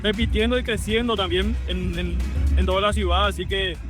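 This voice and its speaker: A man with a Paraguayan accent, a city councilman speaking in a measured, official way in an outdoor interview. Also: high voice, steady tone. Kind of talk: street interview answers. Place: outdoors at a street festival. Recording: outdoor festival with loud music.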